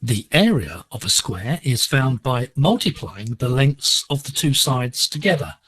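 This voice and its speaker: A man presenting a scripted educational lesson on shortwave digital radio, explaining in a measured, deliberate way. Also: mid-range voice, very animated, fairly bright tone, slightly rough, no echo. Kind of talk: scripted instructional narration.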